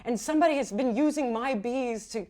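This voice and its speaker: A woman's voice: fairly high pitch, mellow, voice pushed up, lightly acted. A woman playing a nervous, secretive beekeeper who stammers and halts as she answers in a tabletop game. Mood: anxious, defensive. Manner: flustered, halting, stammering. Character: nervous, secretive beekeeper dodging questions about magic bees.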